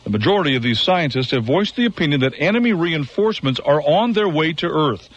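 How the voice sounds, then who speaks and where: An actor playing a radio news announcer, reading war bulletins in a measured way. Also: male voice, a little high, animated. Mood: grave. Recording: dull radio broadcast sound.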